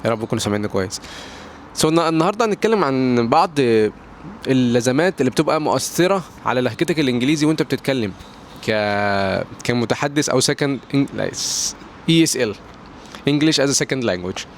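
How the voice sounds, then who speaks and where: Young Egyptian guy, Egyptian accent, very fast rambling vlog talk, faint traffic outside. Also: mid-range voice, animated. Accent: Egyptian Arabic. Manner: rambling, many restarts and fillers, mixes in English words. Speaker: English pronunciation tiktoker. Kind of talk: casual advice vlog. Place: at home near a balcony door.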